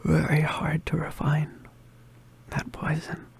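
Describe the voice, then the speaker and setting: A man's voice: fairly high pitch, completely flat delivery, gravelly, husky, heavily acted. Man acting a whispering, wounded young witch boy. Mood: weary, explaining. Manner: halting, hesitant, murmured, understated. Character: badly injured young male witch, weary and self-sacrificing. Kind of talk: ASMR roleplay, soft intimate whispering.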